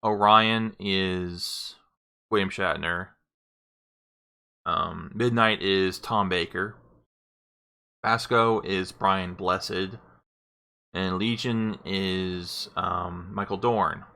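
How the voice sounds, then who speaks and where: A man's voice: low-pitched, fairly even intonation, extremely slow, gravelly, long pauses, sharp tone, smooth. A man giving casual gameplay commentary as a streamer, in a hesitant, rambling manner. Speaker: streamer demonstrating game voice packs.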